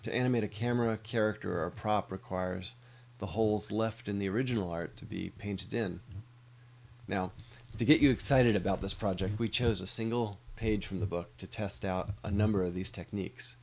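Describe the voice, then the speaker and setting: A man's voice: fairly low pitch, normal speed, creaky, a little mellow. A middle-aged American man, an animator, giving a scripted presentation of a project.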